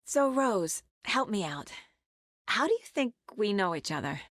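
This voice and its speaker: A woman voice actress playing a determined, guarded female investigator in a video game, speaking in a measured, serious, naturalistic way. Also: mid-range voice, very animated, fairly bright tone, no echo, studio quality. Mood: businesslike, focused. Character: determined, sharp female FBI investigator, guarded yet driven.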